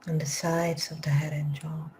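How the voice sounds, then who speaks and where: A woman guides a meditation in a low, even voice, her slow phrases often sinking into a whisper. Her tone is mellow and unhurried. A faint hiss sits under the recording. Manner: slow soft-spoken instructions, drifting into whispers. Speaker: meditation teacher. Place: live group session.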